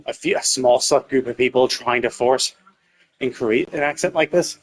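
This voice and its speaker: Clear-voiced man thinking aloud while gaming. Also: mid-range voice, normal speed, rough recording. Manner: thinking aloud, tentative, self-correcting. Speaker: game streamer. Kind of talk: casual live gameplay commentary.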